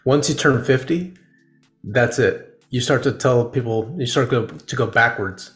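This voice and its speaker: A man's voice: medium pitch, a little slow, fairly bright tone. A man in his forties hosting a casual podcast interview, playful and encouraging as he asks questions. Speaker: podcast host. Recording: roomy echo with faint music.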